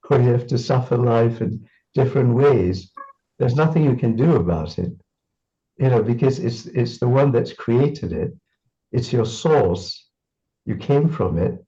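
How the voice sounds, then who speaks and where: A man's voice: mid-range voice, dark tone, dull audio. A man from Singapore reminiscing in an interview, thoughtful and full of restarts and fillers. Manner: thoughtful, repeats words, many fillers.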